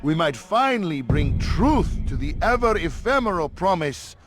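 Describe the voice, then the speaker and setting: A man's voice: on the high side, sing-song, moderate pace. A man playing an earnest medieval Templar orator in a video game, speaking in an impassioned, declamatory way. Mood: impassioned preaching. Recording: music loud behind.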